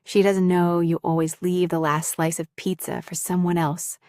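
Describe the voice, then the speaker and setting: Casting: woman to play clingy, jealous young female roommate, lovestruck; lowish register, halting confessional delivery, very dry intimate ASMR sound. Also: little pitch movement, dark tone, no room sound, close to an everyday voice. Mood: wistful, possessive. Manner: halting, confessional, addressing the listener directly. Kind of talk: intimate ASMR roleplay acting.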